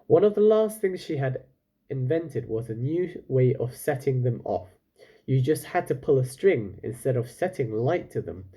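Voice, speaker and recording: Mellow, husky man reading a children's story. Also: medium pitch. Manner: explains and wonders aloud to listeners. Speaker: story reader, teacher.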